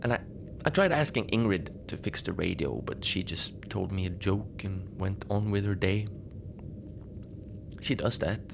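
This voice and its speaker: A low male voice with a gravelly fry, over a clear bed of ambient noise. An actor plays a lonely, unbothered facility manager at a polar research station, telling odd things in a hesitant, understated way for a cozy horror podcast. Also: measured pace, exaggerated voice. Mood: confiding, wry. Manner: hesitant, understated, deadpan, trailing off. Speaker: narrator of a fiction podcast. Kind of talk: cozy horror audio-drama monologue, radio log. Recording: noisy ambient bed behind the voice.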